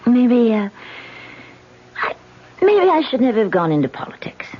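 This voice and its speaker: A woman's voice: on the high side, sing-song, moderate pace, husky. An actress playing an anxious, secretive policeman's wife in a 1970s radio drama, speaking in a hesitant, brooding way. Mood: uneasy, hesitant. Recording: dull vintage radio broadcast, music behind.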